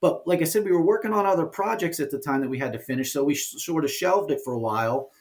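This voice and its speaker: A man talking casually as an interview guest, explaining his work in an informal, halting way. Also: on the high side. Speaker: guest, indie game developer and producer.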